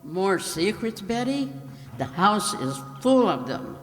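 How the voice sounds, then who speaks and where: A woman playing a kindly, practical aunt in an old-time radio commercial, gently instructive and reassuring. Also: medium pitch, big pitch swings, slow, in a large hall. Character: kindly, practical aunt giving household advice. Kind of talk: 1940s radio commercial acting.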